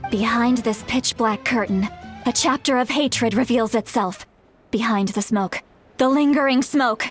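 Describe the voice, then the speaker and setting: A woman's voice: on the high side, a little slow, soft background music, natural voice. An actress playing a grumpy, tipsy young-looking shaman granny in an anime game, drawling and touchy. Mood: trance-like chanting.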